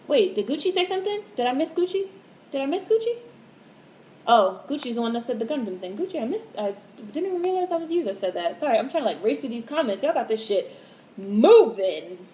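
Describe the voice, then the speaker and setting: A young woman in her twenties chats with her livestream viewers in a flat, monotone way. She speaks candidly, swears casually and reads out comments. The sound is roomy, with a faint hiss behind it. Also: on the high side, normal speed, pauses often. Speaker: livestream host.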